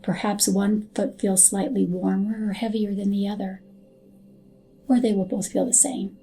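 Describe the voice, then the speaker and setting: A woman narrating a scripted hypnotic guided meditation in a soothing, soft and unhurried manner. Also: mid-range voice, level tone. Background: background music.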